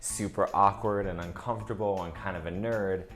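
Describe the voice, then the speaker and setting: A man with a fairly low voice gives an informal comedy lesson. He talks conversationally, sprinkling in "like" as he explains, with faint music underneath. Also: steady tone, normal speed. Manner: conversational, peppered with "like". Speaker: comedian teaching character reels. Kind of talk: casual instructional talk.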